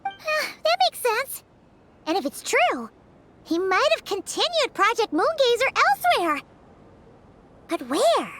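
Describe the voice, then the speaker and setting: A woman voicing a tiny, chirpy, childlike companion creature in a video game, squeaky, chatty and cheeky, with exaggerated perky delivery. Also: big pitch swings, unhurried, pauses often, a bit bright, a little hiss or hum, full character voice. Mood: curious, puzzled.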